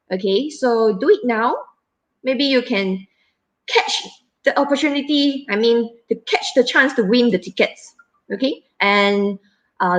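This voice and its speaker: A woman in her thirties with a Malaysian English accent, moderating a casual live stream in a chatty, halting way with many fillers and restarts. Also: mid-range voice.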